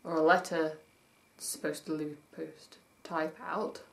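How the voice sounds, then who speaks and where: A woman vlogging with a husky voice that has not warmed up yet, chatting in a rambling way, reading points from a list and spelling words out letter by letter. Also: sits low, flat delivery, moderate pace. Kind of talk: casual vlog, reading points from a list and commenting. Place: at home by day, with traffic outside. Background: faint traffic.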